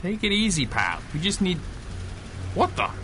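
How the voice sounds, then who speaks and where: An actor playing a brash, inquisitive 1930s reporter in a radio drama, with slangy, probing talk. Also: male voice, high voice, sing-song, moderate pace, bright, forceful tone, slight background noise, full character voice. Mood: probing, urging. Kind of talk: old-time radio drama acting.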